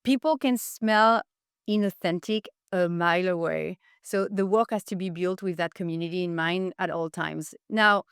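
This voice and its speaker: A woman's voice: lowish voice, normal speed, clear, resonant tone, no echo, studio quality. A woman speaking as a podcast guest, giving enthusiastic, explanatory answers in a conversational interview style. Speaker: podcast guest, marketing executive.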